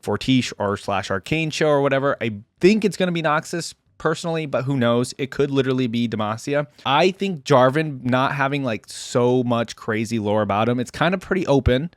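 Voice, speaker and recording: A man's voice: mid-range voice, no room sound, clean studio sound. A man giving casual, rambling reaction commentary as the host of a video. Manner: chatty, rambling, conversational.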